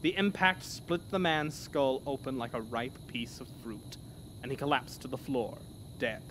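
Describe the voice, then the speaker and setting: A man reads a scripted horror tale as narrator, with theatrical, dramatic delivery. His voice sits at a middle pitch. The recording carries clear background noise. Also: steady tone, unhurried.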